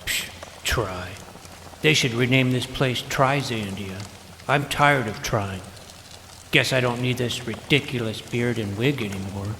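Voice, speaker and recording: A man's voice: medium pitch, a little slow, pushed and bright, slight background noise. A man playing a naive, self-doubting, geeky boy who narrates his fantasy adventure in a wry, youthful, earnest way. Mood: frustrated, defeated. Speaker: narrator and lead character. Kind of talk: first-person scripted fantasy narration and dialogue. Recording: music behind the voice.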